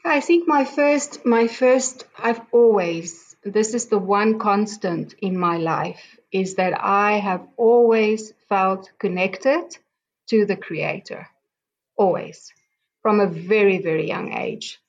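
A middle-aged woman from South Africa, a native Afrikaans speaker with a South African accent, slowly telling her life story as a guest, with many pauses and ums. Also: mid-range voice, slight background noise. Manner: slow, halting, many ums and restarts. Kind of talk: reflective personal storytelling. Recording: dull lo-fi sound.

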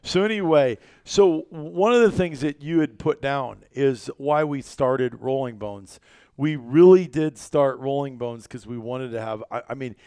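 A man's voice: mid-range voice, unhurried, mellow, clear, resonant tone, no room sound. An American man from rural Iowa hosting a casual podcast, telling stories in a rambling, emphatic way. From rural Iowa, United States. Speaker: podcast host and company founder.

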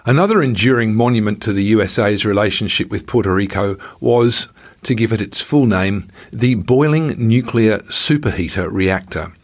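A man reading his own scripted travel memoir in a measured storytelling manner with dry irony. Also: fairly low pitch.

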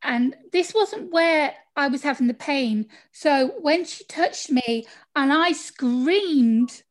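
British woman, high voice, chatty storyteller, interview guest, video call. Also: animated, takes it slowly. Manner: chatty, laughs often, acts out quoted people. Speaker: podcast guest.

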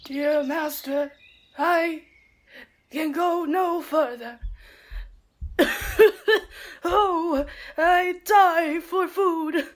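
Woman as a faint, very slow old manservant. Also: very high-pitched, full character voice. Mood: exhausted, despairing. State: faint, exhausted. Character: frail, starving old manservant, loyal and weary. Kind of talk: radio play Shakespeare acting.

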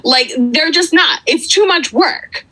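A woman co-hosting a true crime podcast in a quick, joking, teasing way. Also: sits high, sharp tone, low-quality recording. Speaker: podcast co-host. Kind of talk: casual true crime chat.

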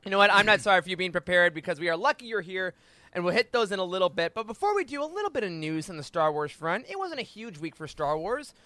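An enthusiastic male host chats casually in a high, bright voice. He sounds playful and close to the mic, with a dry sound. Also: big pitch swings, fast, dry recording. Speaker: podcast host. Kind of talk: casual, excitable panel chat.

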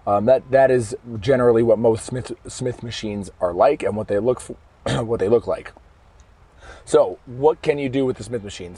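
A hoarse man hosting a fitness podcast from his car in traffic, explaining things casually and often correcting himself mid-sentence. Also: fairly low pitch, dark tone. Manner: conversational, explaining step by step with frequent self-corrections. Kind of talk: casual solo podcast monologue. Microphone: podcast microphone.

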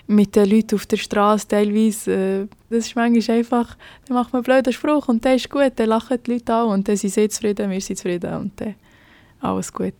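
Young woman, Swiss German accent, hesitant, monotone, dry recording. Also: medium pitch, no room sound. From Switzerland. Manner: hesitant, many fillers. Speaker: police officer. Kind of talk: podcast interview.

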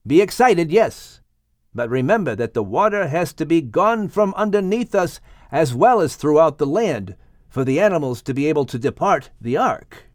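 A man's voice: fairly high pitch, very animated, moderate pace, no echo, clean studio sound. A man voicing a joyful, earnest father figure and patriarch of a family. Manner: exclamatory, earnest.

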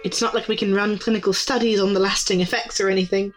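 Easygoing young shop girl woman acting, medium pitch, casual explainy, bit of music under her. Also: fairly even intonation, fast talker, close to an everyday voice. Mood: explaining. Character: easygoing, helpful young shop clerk selling magic wares. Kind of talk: naturalistic audio drama dialogue.

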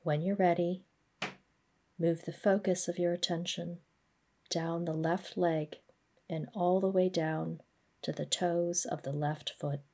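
A woman gently guiding a body scan meditation, speaking in a measured, instructional way. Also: on the low side, fairly even intonation. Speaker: mindfulness practice guide.

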